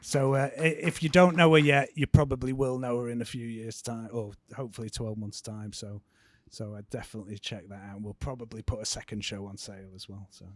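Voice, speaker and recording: A man's voice: medium pitch, little pitch movement, a little fast, few pauses. A man on a panel talking about the musicians he books, in a rambling way with lots of restarts. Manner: rambling, many fillers and restarts. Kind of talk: casual panel talk. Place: panel event in a city venue.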